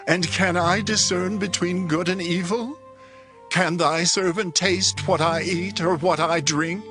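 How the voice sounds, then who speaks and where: A man's voice: high voice, steady tone, unhurried, bright, forceful tone, faint music behind. An actor playing a humble, weary man of eighty in a dramatized Bible audiobook, pleading in archaic scriptural phrasing. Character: humble, weary eighty-year-old man declining royal reward.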